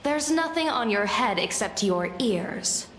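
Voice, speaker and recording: A woman's voice: fairly high pitch, animated, unhurried, fairly bright tone, a little hiss or hum. An actress playing an inquisitive, self-assured female seer in a video game, articulate, formal and probing. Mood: probing, assertive. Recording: echoey hall with music behind.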